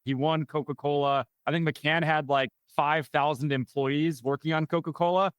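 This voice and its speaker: A man's voice: fairly high pitch, fairly even intonation, normal speed, clear, resonant tone, dry recording. A man hosting a business podcast, asking questions and telling stories in a friendly way. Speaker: podcast host. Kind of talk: podcast interview.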